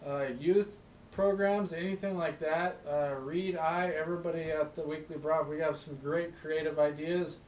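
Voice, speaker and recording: A man's voice: on the high side, fairly even intonation, normal speed, a little mellow, far from the mic. An American man co-hosting a show, laid-back and easygoing. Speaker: co-host. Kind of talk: casual chat. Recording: roomy echo, off-mic, faint noise.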